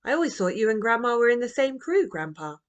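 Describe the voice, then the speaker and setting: A woman voicing an eager, hopeful young girl in a children's storybook reading, earnest and excitable. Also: a little high, fast, pitched above the performer's own voice. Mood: curious.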